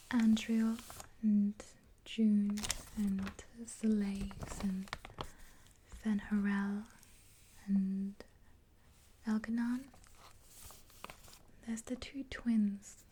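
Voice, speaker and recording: A woman in her twenties whispering a gentle ASMR roleplay in a breathy, unhurried, hesitant voice, close to the mic. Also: mid-range voice. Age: 20s. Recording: hissy close recording with clicks.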